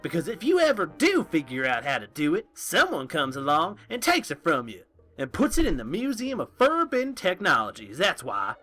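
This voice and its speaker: A man's voice: fairly high pitch, wildly swooping pitch, normal speed, pushed and bright, soft background music, exaggerated voice. A man playing an eccentric, pompous town scientist giving a mock lecture in a comic audio drama, theatrical and prone to indignation. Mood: indignant ranting.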